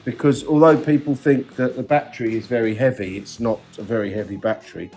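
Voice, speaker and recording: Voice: mid-range voice, steady tone, brisk, a little mellow. A speaker from Wales with a Welsh accent, casually explaining jobs in a motorcycle workshop with a teasing manner. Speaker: workshop mechanic and co-presenter. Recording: guitar music behind the voice.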